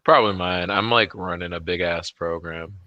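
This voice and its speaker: A man's voice: low-pitched, moderate pace, dry recording, lo-fi sound. A man co-hosting a livestream in casual banter, talking bluntly with slang and swearing. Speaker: livestream co-host.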